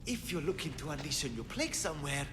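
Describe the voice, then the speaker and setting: A man's voice: on the high side, sing-song, quick pace, long reverb tail, background noise. An actor playing an eccentric, grandiose man who proclaims himself a god, in a game cutscene. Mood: manic. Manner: grandiose, theatrical, manic. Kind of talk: video game cutscene acting. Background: orchestral game music.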